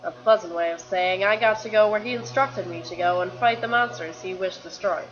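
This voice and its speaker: Woman doing a tired guilty hero warrior lady, earnest and dramatic, fantasy comic dub, music clearly playing under her. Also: mid-range voice, a little fast, dull audio, natural voice. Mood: recounting, rueful. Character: weary, guilt-ridden woman warrior and reluctant champion. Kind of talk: dramatic dialogue in a fan-made motion comic.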